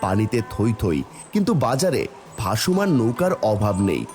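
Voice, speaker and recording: Lively male cartoon storyteller. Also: on the low side, normal speed, faint music behind. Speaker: storyteller, narrator. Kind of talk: scripted cartoon story narration.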